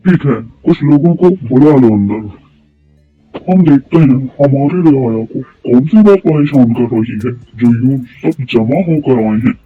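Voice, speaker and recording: A man's voice: mid-range voice, expressive, a little slow, husky. A man playing an authoritative king in a moral cartoon story, speaking in a formal, regal, commanding way. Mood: questioning. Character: authoritative king, commanding, concerned for his subjects. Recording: tinny phone-like sound, music bed.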